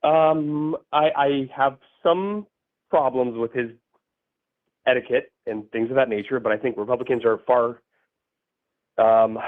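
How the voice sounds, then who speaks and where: A man in his thirties calling in, talking in a halting way with many fillers. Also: medium pitch. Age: 30s. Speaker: caller. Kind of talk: casual call-in debate. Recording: tinny phone line.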